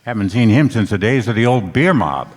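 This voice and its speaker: A man's voice: fairly low pitch, very animated, fairly quick, darkish tone. A man playing a wisecracking hard-boiled private eye, wry, tough and slangy, in a noir radio play. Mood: casual probing. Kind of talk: noir radio play narration and dialogue on stage. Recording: reverberant hall stage recording.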